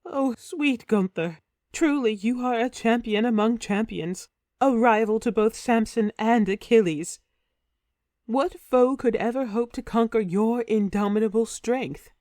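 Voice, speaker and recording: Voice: medium pitch, measured pace, darkish tone, no room sound, clean studio sound, pitched above the performer's own voice, lightly acted. A narrator voicing a passionate ancient warrior queen, pleading and theatrical, in a storybook reading. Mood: adoring. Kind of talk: audiobook character voice.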